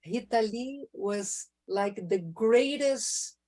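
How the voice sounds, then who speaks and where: A woman's voice: fairly high pitch, drawn-out words, darkish tone. A Brazilian woman singer with a Brazilian Portuguese accent, talking effusively in slightly broken English between songs. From southern Brazil. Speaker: guest singer. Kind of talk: casual chat between songs.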